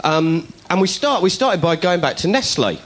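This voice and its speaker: A middle-aged comedian telling a story to a studio audience, animated and putting on voices for the people he quotes. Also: sits high, normal speed. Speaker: comedian host.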